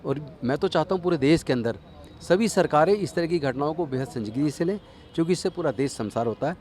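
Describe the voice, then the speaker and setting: A man's voice: on the high side, animated, a little fast, darkish tone. A man speaking earnestly and emphatically in phrases, giving a political statement to a news reporter. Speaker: politician interviewee. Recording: noisy outdoor urban interview.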